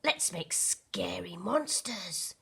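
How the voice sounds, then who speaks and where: A woman voicing a small, curious, excitable toy teddy bear cub in a children's storybook. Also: fairly low pitch, slow pace, creaky, slightly rough, full character voice. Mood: conspiratorial whisper.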